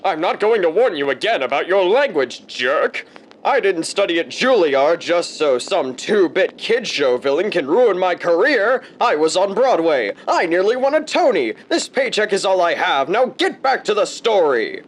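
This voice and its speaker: A man's voice: high voice, very animated, moderate pace. A man playing an exasperated, self-important narrator in a kids' show parody, scolding and fretful. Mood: indignant.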